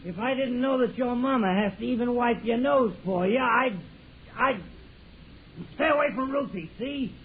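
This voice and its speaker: A drunken, bullying father snarls his threats in a gravelly voice pitched unusually high. The sound is thin and lo-fi, from an old radio broadcast. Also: normal speed, slight background noise. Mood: threatening. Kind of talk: 1940s radio acting.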